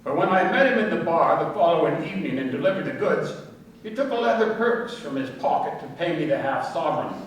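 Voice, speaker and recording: A man's voice: a little high, expressive, fairly quick, close to an everyday voice. An actor playing an earnest, deferential working-class male witness in a radio crime play, speaking respectfully and colloquially. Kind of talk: radio crime drama acting. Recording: echoey distant room, faint noise.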